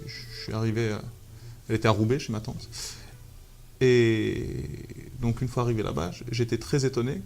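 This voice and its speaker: A man in his thirties from Algeria, a photographer guest telling his story in a relaxed interview, hesitant with many fillers and restarts. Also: mid-range voice, vocal fry. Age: 30s. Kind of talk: casual interview talk.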